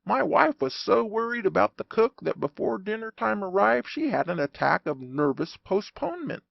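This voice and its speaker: A man narrating a humorous audiobook in an animated, wry storytelling manner. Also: high-pitched, moderate pace, vocal fry, no echo, lo-fi sound.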